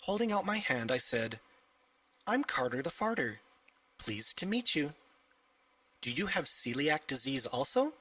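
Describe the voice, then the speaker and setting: Guy playing a silly excitable kid telling his story, kids audiobook, bright and a bit raspy, little far from mic. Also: fairly high pitch, unhurried, long pauses, no room sound, full character voice. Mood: amused excitement. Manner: animated, emphatic storytelling. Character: cheeky young boy narrator, excitable, gleefully silly. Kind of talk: first-person children's audiobook storytelling.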